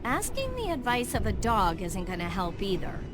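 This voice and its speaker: A woman's voice: mid-range voice, huge pitch swings, normal speed. A prickly blunt young girl. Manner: curt, tsundere, sharp-tongued. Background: game music.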